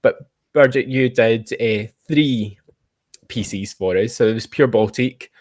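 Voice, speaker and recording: A man's voice: medium pitch, slow, a little mellow. A man hosting a podcast interview casually, feeling his way through questions and rephrasing them as he goes. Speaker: podcast host.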